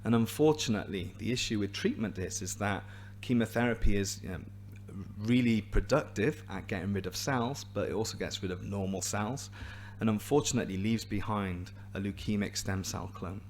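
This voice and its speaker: A man with a hoarse, raspy voice giving a scientific seminar to a live and online audience, explaining clearly with a few restarts. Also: fairly low pitch, moderate pace, a little mellow, a little hiss or hum. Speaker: invited seminar speaker, hematologist.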